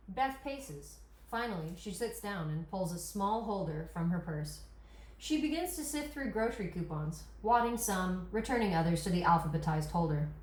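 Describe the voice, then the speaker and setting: Echoey woman narrating a screenplay. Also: fairly low pitch. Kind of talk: reading screenplay action lines. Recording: echoey room recording.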